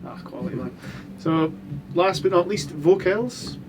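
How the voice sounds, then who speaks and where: A man's voice: high-pitched, unhurried, loud music behind the voice. A man chatting casually about mixing a recording session, rambling with many restarts and fillers. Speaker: mixing engineer. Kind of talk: casual chat.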